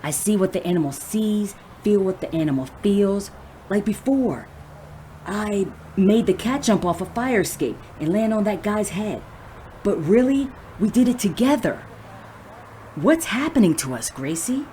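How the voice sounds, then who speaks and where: A woman's voice: mid-range voice, lively intonation, a little slow, warm tone, slight background noise, lightly acted. An actress playing a stoned, bewildered middle-aged woman in an audio drama, hesitant and confiding. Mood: earnest, awed. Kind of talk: audio drama acting, naturalistic dialogue.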